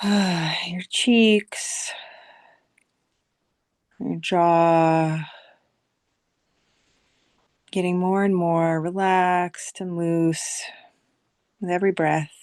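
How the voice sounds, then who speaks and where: A woman leading a guided visualization meditation as a teacher, speaking soothingly in short phrases with long, unhurried pauses. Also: fairly low pitch, steady tone, a little mellow, dry recording, clean studio sound.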